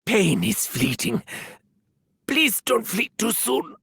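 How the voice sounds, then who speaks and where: A man's voice: high-pitched, very animated, slow pace, vocal fry, a bit bright, slightly rough, no room sound, clean studio sound, full character voice. A man voicing an unhinged, vain, sadistic German mad scientist for a video game, with a German accent and a pompous, theatrical delivery. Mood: self-important. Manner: theatrical, pompous, swinging from muttering to shrieking. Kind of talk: scripted video game voice lines.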